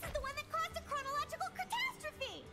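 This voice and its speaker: A woman's voice: lively intonation, quick pace, faint music behind, heavily acted. A woman voicing a chirpy, playful little female gnome who keeps time, in a theatrical, announcing video game voice line. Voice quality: very high, squeaky and bright. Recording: game audio played back under commentary.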